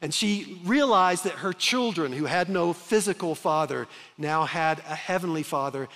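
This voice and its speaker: A man in his fifties preaching a sermon from a church stage, earnest and emphatic, with slow dramatic pauses. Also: a little high, a bit bright. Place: large church stage with reverb. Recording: long hall reverb.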